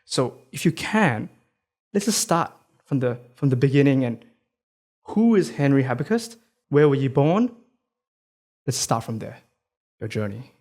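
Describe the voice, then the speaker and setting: A man's voice: mid-range voice, big pitch swings, a little slow, long pauses, darkish tone. A man hosting a podcast who reads a scripted introduction and then chats with his guest in a friendly way, using many fillers like "uh," "right?" and "like.". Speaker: podcast host.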